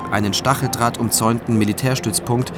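An actor playing a sober, probing state prosecutor who narrates and questions in a measured, restrained radio drama style. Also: male voice, mid-range voice, steady tone, over music, close to an everyday voice. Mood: reflective narration.

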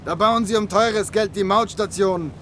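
A man's voice: sits high, moderate pace, fairly bright tone, slight background noise. An actor playing a chatty, amused Slovenian border policeman in a German radio drama. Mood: jovial, amused. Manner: jovial, chatty.

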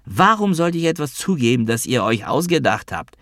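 A man's voice: on the low side, normal speed, no echo, clean studio sound. A man voicing a stern, mocking adult sister who is defiant and needling, in a literary audiobook story. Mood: indignant.

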